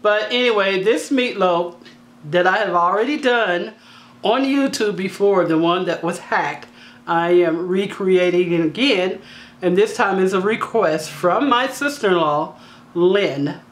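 A woman with a slightly raspy voice hosting a home cooking tutorial, talking in a chatty, down-to-earth way with joking asides. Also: medium pitch, a little slow. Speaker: cooking channel host. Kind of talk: casual cooking tutorial voice-over. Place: home kitchen.

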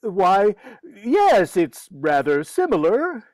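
Exasperated, fussy father, acted by a man, slow and swooping delivery, radio comedy. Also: high voice, wildly swooping pitch, a little mellow, no echo, exaggerated voice. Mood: patient, coaxing. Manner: fussy, stammering when flustered. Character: flustered, exasperated father of a mischievous girl. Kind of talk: old-time radio comedy acting.

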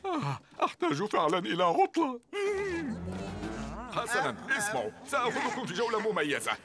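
A man's voice: sits high, sing-song, brisk. A man voicing a scheming male villain in an Arabic cartoon dub, wheedling and cackling. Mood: fake-friendly. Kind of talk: Arabic dub of a children's TV show. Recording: music bed under dialogue.

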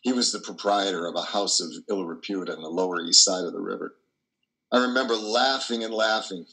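Deep, bright-voiced older priest, acted by a man. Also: a little fast, rough recording. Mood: wry, wistful. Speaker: actor reading a play. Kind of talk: dramatic monologue reading. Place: dim wood-panelled room.

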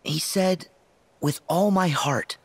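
An actor playing a plucky, earnest American teenage boy hero in an animated video game. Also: high-pitched, takes it slowly, slightly rough, full character voice. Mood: earnest, determined.

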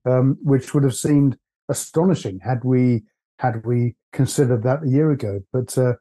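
A man, husky from laryngitis, co-hosting a casual investment podcast in a measured, thoughtful way. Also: medium pitch, mellow. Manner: measured, thoughtful, with frequent "uh" and restarts. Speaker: podcast co-host, stock analyst.

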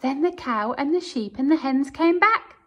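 A woman teacher reading a picture book aloud to her pupils in an empty classroom, with expressive storytelling. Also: high voice, normal speed, dull audio.

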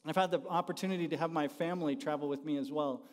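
A man's voice: fairly high pitch, fairly even intonation, rapid-fire. A middle-aged American man giving an informal, conversational teaching talk to an audience, often putting questions to them. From born in the United States, raised partly in Haiti. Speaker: university dean and teacher, conference speaker. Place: large church auditorium, speaking from the front by the stage. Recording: reverberant hall sound.

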